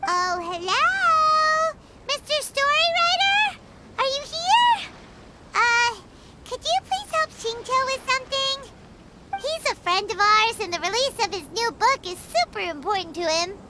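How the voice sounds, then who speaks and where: A woman voicing a tiny, excitable floating girl companion who chatters and refers to herself by name, in video game dialogue. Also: very high-pitched, a little slow, very noisy. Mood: playful. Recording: game audio with music underneath. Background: game music.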